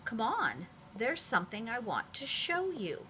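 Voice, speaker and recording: Playful elephant leader for a picture book, played by a woman, lively and bright, encouraging. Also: fairly high pitch, unhurried, a little hiss or hum, full character voice. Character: cheerful, playful patchwork elephant leading his friends. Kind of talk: children's storybook read-aloud.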